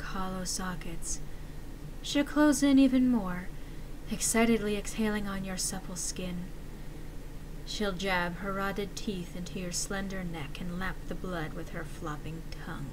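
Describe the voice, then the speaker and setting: A woman narrates scripted horror with deliberate, ominous delivery. Her voice sits at a middle pitch, and there is clear noise in the recording. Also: darkish tone, low-quality recording.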